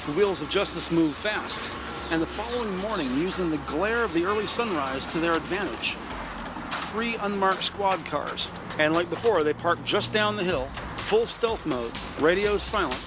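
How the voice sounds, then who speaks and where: A man reading scripted, deliberate and detailed narration for an audio-described police drama. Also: a little high, low-quality recording.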